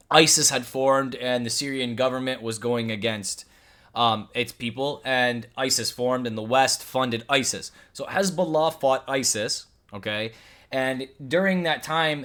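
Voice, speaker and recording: A man from Lebanon giving a heated reaction commentary, arguing emphatically and hammering the same points again and again. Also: mid-range voice. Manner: argumentative, emphatic, repeats points, rising to near-shouts.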